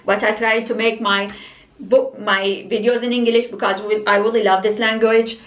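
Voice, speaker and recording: A young woman whose first language is Arabic, chatting casually about books in a halting way with many fillers. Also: on the high side, little pitch movement, fairly bright tone, muffled recording. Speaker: book vlogger. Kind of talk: casual book review. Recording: roomy echo, mic at a distance.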